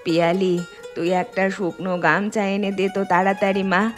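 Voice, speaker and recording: A woman's voice: mid-range voice, little pitch movement, moderate pace, faint music behind, lightly acted. A woman playing a motherly, hospitable middle-aged village housewife in a cartoon. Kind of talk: cartoon dialogue dub.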